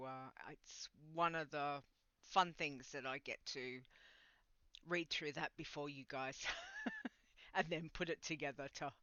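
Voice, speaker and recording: A woman's voice: low voice, bright, forceful tone, no echo, dull audio. A woman hosting a podcast in casual, rambling chat, sounding a bit congested and nasal. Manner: rambling and chatty, with laughs. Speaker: podcast host.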